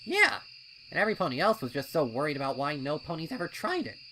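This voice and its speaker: A man's voice: fairly high pitch, huge pitch swings, fast talker, bright, forceful tone, a little hiss or hum, pitched above the performer's own voice, full character voice, rough recording. A man voicing a wary, defensive young colt pony with a blustering, indignant manner in a story reading. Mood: boastful.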